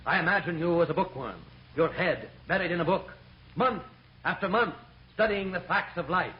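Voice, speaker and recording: An actor playing a pompous, gloating hypnotist with a theatrical, commanding delivery in a radio comedy. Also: male voice, sits high, animated, moderate pace, breathy edge, full character voice. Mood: mocking, commanding. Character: pompous, gloating male stage hypnotist, easily outraged. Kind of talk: mid-century radio comedy acting. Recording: dull, noisy old radio recording.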